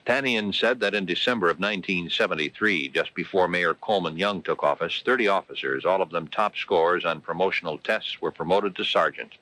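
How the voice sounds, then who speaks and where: A man's voice: low-pitched, a little fast, hardly any pauses, pushed and bright, rough recording. An American man anchoring a local 1970s radio newscast from a script.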